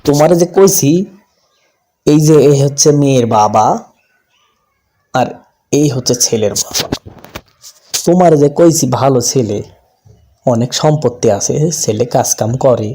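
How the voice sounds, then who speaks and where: A man's voice: medium pitch, lively intonation, measured pace, long pauses, dark tone. A man voicing a chatty village matchmaker in a cartoon, speaking folksy and persuasive with a rural Bangladeshi Bengali accent. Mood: coaxing.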